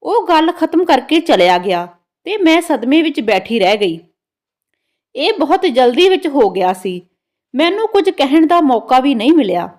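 A woman's voice: sits high, very animated, moderate pace, a little mellow. A woman narrating a scripted first-person story in a dramatic storytelling manner.

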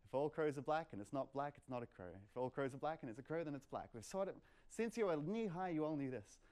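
A man's voice: a little high, animated, fast, a little mellow. A young man presenting an informal lecture to a meetup in a lecture room, in a playful, conversational manner with plenty of "like" and "kind of". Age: young adult. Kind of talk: informal live lecture with audience questions. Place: medium-sized lecture room, indoors.

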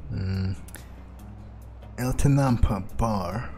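A man's voice: lowish voice, very slow, dark tone, faint music behind. A man thinking aloud in character as he plays a video game, musing hesitantly. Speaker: player of a video game playthrough, speaking in character. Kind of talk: in-character roleplay gameplay narration, thinking aloud.

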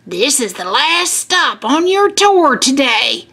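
Storybook farmer, female reader: kindly, folksy, patient tour guide, unhurried pace, very animated pitch. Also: exaggerated voice. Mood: friendly, instructive. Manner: patient, reassuring explaining.

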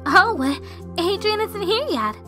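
A woman voicing an excitable, dramatic teenage girl heroine in a cartoon comic dub. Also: very high-pitched, unhurried, heavily acted. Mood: flustered. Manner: flustered, dramatic. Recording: music behind.

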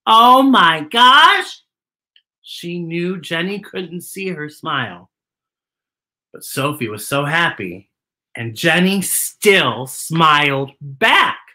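Animated, bright American drag storyteller. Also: male voice, a little high. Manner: theatrical, exclamatory, drawn-out emphasis. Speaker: host and storyteller of a children's show. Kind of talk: children's storytime read-aloud.

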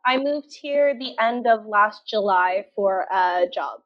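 A woman's voice: mid-range voice, slow pace, lo-fi sound. A woman from Southern Ontario with a Canadian accent, chatting casually as an interview guest. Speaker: podcast guest.

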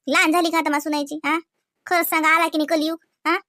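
A woman's voice: sits high, flat delivery, fast talker, sharp tone, no room sound, studio quality. Woman voicing a grumbling old Vidarbha village mother-in-law. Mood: indignant. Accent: Vidarbha Marathi. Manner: colloquial, complaining, rhetorical questions. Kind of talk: cartoon comedy dialogue.

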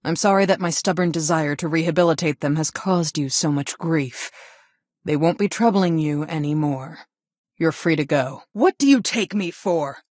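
A woman voicing a strict school guidance counselor in a video game, with a formal, measured, reproachful way of talking. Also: deep voice, darkish tone, no echo, clean studio sound. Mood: regretful, then indignant. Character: stern female school guidance counselor, adult, strict and disappointed. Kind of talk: video game voice acting, scolding lines.

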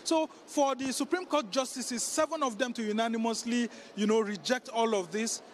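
A Nigerian-accented man reports live as a political correspondent. His voice sits notably high for a man, and he explains things in a measured way. Some faint location noise comes through the handheld microphone. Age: middle-aged.

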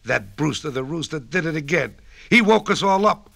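A man voicing a crowd of indignant farm animals, shouting in singsong rhymes. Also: fairly high pitch, expressive, moderate pace, gravelly, no echo, voice pushed up, heavily acted.

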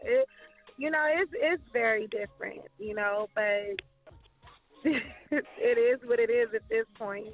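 A woman's voice: a little high, slow pace. Thirtysomething woman rapper on a call-in show, tinny phone sound, faint music under her. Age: 30s. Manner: candid, reflective, laughs easily. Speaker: guest rapper. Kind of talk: casual radio interview.

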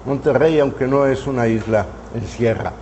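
A man in his seventies from Mexico, reminiscing in an interview in his studio, unhurried and full of hesitant fillers. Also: medium pitch, over music. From northern Mexico. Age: 70s. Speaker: painter interviewee. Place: artist's studio, indoors. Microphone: lapel mic.

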